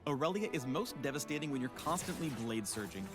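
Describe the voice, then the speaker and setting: A man's voice: on the high side, lively intonation, fast, music in the background. A man reading scripted promotional tutorial narration for a video game spotlight, with playful wording.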